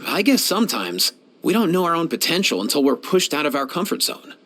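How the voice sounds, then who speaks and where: Easygoing young male game vet, kind and slangy, lightish pitch, very animated. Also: brisk. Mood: relaxed, friendly. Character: laid-back, kindly young male veterinarian. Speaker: video game character voice actor. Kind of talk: game voice lines, conversational.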